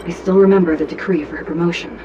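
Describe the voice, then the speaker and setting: Woman acting a low-voiced, stern, composed judge. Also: fairly even intonation, lo-fi sound, background noise, lightly acted. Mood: calm, formal. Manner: formal, measured, solemn. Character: stern, composed female judge, coldly formal. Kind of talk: video game voice acting.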